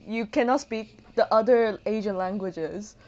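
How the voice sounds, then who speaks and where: A young adult woman asking questions in a casual, animated chat, laughing often. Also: medium pitch, unhurried, lo-fi sound. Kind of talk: casual conversation.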